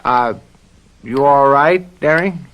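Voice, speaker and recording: A man playing a brisk, practical game warden in an old radio drama, speaking in a matter-of-fact, businesslike way. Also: medium pitch, muffled recording, natural voice. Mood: practical, puzzled. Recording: faintly noisy old radio recording.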